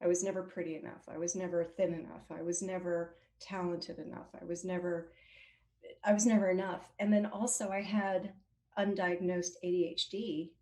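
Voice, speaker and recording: A woman of about fifty talking as a guest, open and animated, quick to laugh. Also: lowish voice. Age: 50s. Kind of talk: casual conversation.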